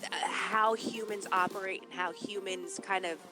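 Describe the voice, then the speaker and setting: A woman's voice: mid-range voice, fairly even intonation, normal speed, over music. A woman in a casual livestream discussion, explaining her analysis earnestly and at length.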